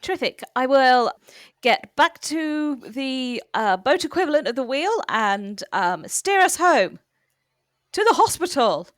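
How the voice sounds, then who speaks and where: A woman acts as a capable, reassuring temp in a comic radio play. She talks slowly and helpfully in a high-ish, dryly recorded voice. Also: big pitch swings, no echo, clean studio sound. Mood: breezy. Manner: reassuring, measured.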